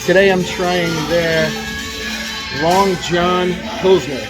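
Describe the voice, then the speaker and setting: A man gives an upbeat, quick walk-through of a brewery in a short phone video. Loud music fills the noisy bar behind him. His voice sits a little higher than average. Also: fairly even intonation. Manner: upbeat, quick touring commentary. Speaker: podcast co-host. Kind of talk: vacation video clip. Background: loud music and bar crowd.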